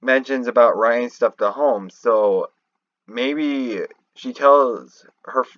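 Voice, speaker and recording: Male game-lore commentator, unhurried and rambling, restarts often, dry but slightly muffled lo-fi home recording. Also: medium pitch, a bit bright, dry recording. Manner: halting, rambling, often restarting mid-thought. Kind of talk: unscripted theorizing commentary over a screen recording.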